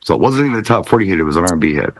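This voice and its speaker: Man, lowish voice, very dry sound, podcast co-host, casual conversation. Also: lively intonation, very fast, no room sound. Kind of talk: casual panel chat.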